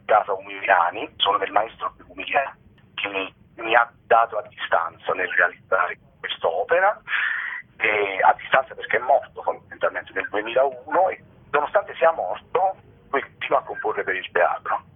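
A man's voice: fairly low pitch, expressive, normal speed, breathy edge. A male comedian chatting as a radio interview guest in a rambling, tongue-in-cheek, mock-solemn manner. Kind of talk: casual radio interview chat. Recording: muffled telephone line.

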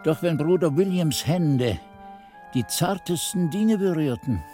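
An older male actor playing an aged monk who narrates memories of his youth in a measured, literary radio play style. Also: fairly high pitch, animated, warm tone. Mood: reflective. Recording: 1980s radio play with faint music.

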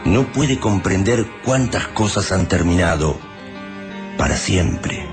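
An actor with an Argentine accent playing a solemn, poetic storyteller narrating a radio drama. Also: male voice, low voice, expressive, slow. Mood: ominous, poetic. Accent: Argentine Rioplatense. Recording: dramatic music under the voice.

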